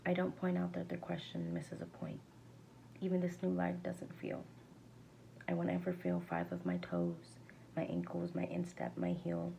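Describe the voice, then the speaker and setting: A woman reading a verse novel aloud as an amateur, with a creaky, gravelly voice and a halting delivery that sometimes stumbles and rereads words. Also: on the low side, dark tone, rough recording. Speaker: amateur reader. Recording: faint background noise.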